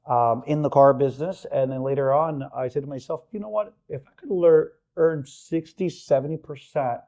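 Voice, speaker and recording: Mellow-voiced man from Honduras. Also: fairly high pitch. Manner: halting, many fillers. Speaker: business owner guest. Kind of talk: casual interview chat.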